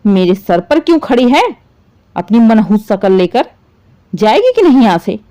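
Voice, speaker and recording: Woman voicing a scornful, domineering mother-in-law, animated pitch. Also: normal speed, natural voice. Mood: furious. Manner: scolding, sarcastic, contemptuous. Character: bitter, spiteful middle-aged mother-in-law, scornful and domineering.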